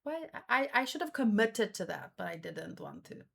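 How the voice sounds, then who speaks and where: A woman hosting a read-along in a casual live commentary style, with expressive, exclamatory reactions. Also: on the high side, normal speed, gravelly. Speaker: host of a manga read-along stream.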